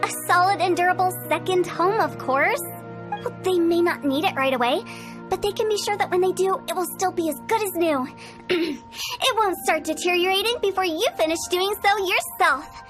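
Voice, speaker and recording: A woman voicing a mischievous young female funeral parlor director in a game, playful and teasing, with spooky jokes and a salesy pitch. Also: moderate pace. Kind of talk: video game voice acting. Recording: game audio with faint music. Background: faint game music.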